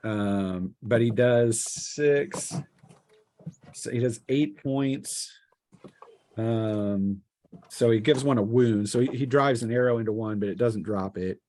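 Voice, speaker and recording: A man acting as game master, explaining rules and turns in a casual, halting way. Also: mid-range voice, mellow. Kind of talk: casual tabletop game refereeing.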